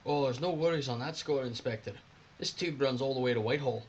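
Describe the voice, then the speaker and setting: A man plays a cheery Scottish Underground worker in an audio drama. He talks in homely dialect, plain and matter-of-fact, at a middling male pitch. Clear background noise surrounds him. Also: fast talker, muffled recording, close to an everyday voice. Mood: helpful. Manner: folksy, colloquial, matter-of-fact. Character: cheery working-class Scottish London Underground man, Percy. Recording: clearly noisy background ambience.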